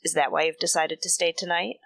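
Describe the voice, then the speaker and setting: A woman's voice: deep voice, level tone, fairly quick, vocal fry, fairly bright tone, dry recording, full character voice. A woman playing a bitter, defensive mature woman in a radio soap, speaking in a guarded, clipped way. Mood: defensive, weary. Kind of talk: radio soap opera acting.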